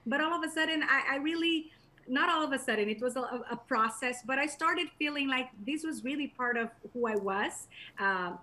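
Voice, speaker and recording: A woman speaking English with a Brazilian Portuguese accent, her voice sitting a little high. She answers an interviewer thoughtfully, often pausing on fillers and restarting her sentences. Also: pushed and bright. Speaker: guest, professor and journalist.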